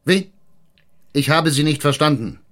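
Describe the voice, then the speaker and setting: An actor playing a cunning, domineering mayor in a German radio drama, emphatic and sardonic. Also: male voice, on the high side, a little slow, a bit bright. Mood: stern, interrogating. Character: blustering, cunning small-town mayor, crude and domineering.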